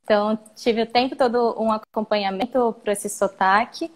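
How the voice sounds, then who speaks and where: Chatty Brazilian woman, slightly high voice. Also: steady tone, moderate pace. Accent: Brazilian Portuguese. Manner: chatty, meandering, frequent restarts. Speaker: guest, actress and singer. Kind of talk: casual live-stream interview. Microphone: video call.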